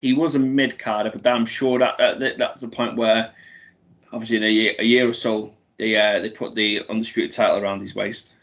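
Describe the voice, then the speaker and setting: Man, low pitch, gravelly fry, eager, stumbling restarts, podcast co-host. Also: flat delivery, brisk, fairly bright tone, muffled recording. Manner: stammering restarts, enthusiastic. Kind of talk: casual wrestling chat.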